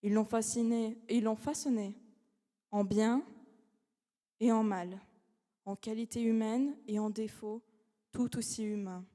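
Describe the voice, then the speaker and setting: A young woman, a law student whose first language is not French, giving a formal competition speech with measured, dramatic pauses. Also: fairly high pitch, steady tone, mellow. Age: young adult. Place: large academic hall. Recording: long hall reverb.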